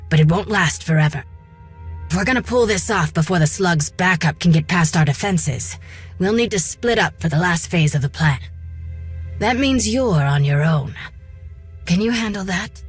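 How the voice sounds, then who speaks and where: A woman's voice: lowish voice, husky. A woman playing a determined, blunt young rebel fighting for her home, urgent and no-nonsense, in a video game cutscene.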